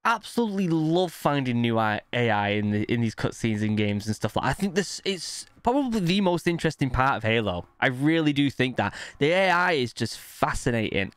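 A man's voice: medium pitch, a bit bright, no echo. A British man in his thirties giving casual, enthusiastic, exclamatory reaction commentary into a close microphone. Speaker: reaction video host. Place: small indoor room at home. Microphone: close desk microphone.

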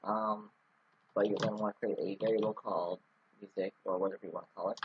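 A man's voice: lowish voice, flat delivery, fast, dull audio, slight background noise. A man talking through a casual screen-recorded coding tutorial, rambling with many ums and restarts. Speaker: scripting tutorial host.

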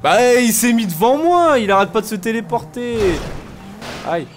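A man's voice: extremely high pitch, very animated, moderate pace, faint music behind. A man playing a video game live, chatting casually in a playful, teasing, slangy way. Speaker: gamer, video creator. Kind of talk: casual live gameplay trolling chat.

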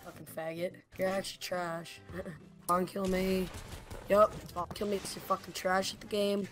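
A woman's voice: lowish voice, little pitch movement, moderate pace, faint music behind. A young woman trading casual gaming trash talk, blunt, taunting and full of swearing. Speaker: guest player, the host's sister. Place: indoor home gaming room.

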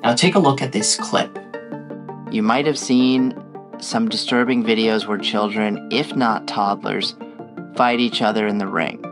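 A man's voice: lowish voice, steady tone, unhurried. A man in his thirties with a Norwegian accent, giving a playful, chatty talking-head tutorial with guitar music underneath. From Norway. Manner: playful, chatty, with asides to viewers. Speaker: tutorial creator. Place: indoor room with frosted glass behind.

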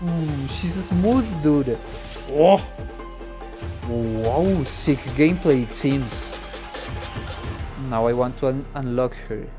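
A man's voice: mid-range voice, slow pace. A young adult man giving casual reaction commentary as a musician, slangy, sweary and enthusiastic. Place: small indoor room. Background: faint music.

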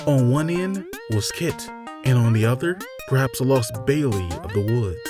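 A man narrating a scripted fantasy story in a measured, deliberate, theatrical storytelling manner. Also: medium pitch, expressive. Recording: music behind the voice. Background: background music.

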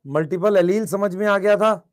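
A man teaching biology in an online class with a slightly hoarse throat, repeating his questions and urging students to answer quickly in the chat. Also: sits high.

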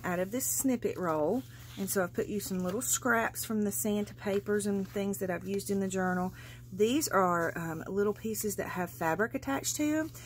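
A woman's voice: mid-range voice, slight background noise. Chatty woman gushing over crafts. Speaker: craft seller showing a handmade journal. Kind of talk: casual flip-through narration. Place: indoors at a craft table. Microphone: overhead camera, speaker off-screen.

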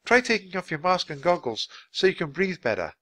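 Man doing a plucky little girl, high-pitched. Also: sing-song, fairly quick, sharp tone, no room sound, heavily acted. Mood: concerned, eager. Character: plucky, eager little girl, younger sister.

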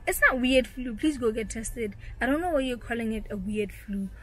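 A young woman from Botswana with a Botswana English accent, chatting excitedly to her camera in a casual vlog, often dropping to a half-whisper. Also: fairly high pitch, normal speed, a little hiss or hum, poor audio. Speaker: vlogger. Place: small room indoors, later a parked car. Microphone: handheld camera at arm's length.

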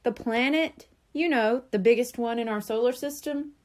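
A woman's voice: a little high, normal speed, close to an everyday voice. A woman voicing a brainy, condescending young boy in a storybook, explaining things precisely and patiently. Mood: know-it-all. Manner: precise, explanatory, know-it-all.

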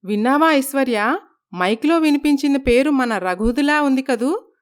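A woman's voice: sits high, lively intonation, normal speed, voice pushed up. A woman voicing a stern older mother-in-law who scolds her son in a storybook tale. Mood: curious. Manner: scolding, commanding.

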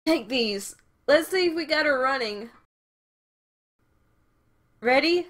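A woman's voice: high-pitched, animated, slow pace, a bit bright, full character voice. A woman playing a brash, blunt, foul-mouthed mechanic in an audio drama. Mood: matter-of-fact.

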